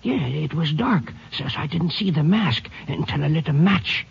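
A gravelly stammering night watchman. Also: fairly high pitch, animated, moderate pace, heavily acted. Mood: flustered. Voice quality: gravelly, raspy drawl with ungrammatical country speech. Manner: stammers and repeats words when flustered. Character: dazed older male night watchman, rustic and stammering. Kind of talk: 1950s radio drama acting. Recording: dull lo-fi vintage radio recording.

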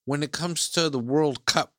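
A man's voice: on the high side, normal speed, bright, forceful tone, no room sound, clean studio sound. A man from Iceland, co-hosting a casual chat, who rambles and keeps circling back to his points.

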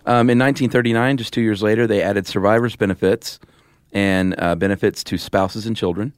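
A man's voice: on the low side, steady tone, a little fast, dry recording, clean studio sound. An American man co-hosting a podcast, explaining things in a laid-back, colloquial, chatty way. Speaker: podcast co-host. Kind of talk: casual chat explainer.

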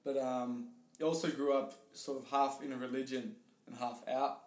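A man with an Australian accent, a guest telling his story in casual interview chat, relaxed and hesitant with many fillers. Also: mid-range voice, normal speed. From Tasmania, Australia. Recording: roomy echo.

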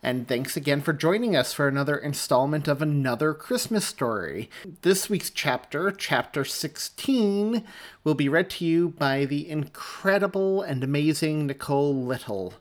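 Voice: mid-range voice, animated, moderate pace. A podcast host with a folksy way of talking, giving an upbeat introduction. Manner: folksy, uses y'all.

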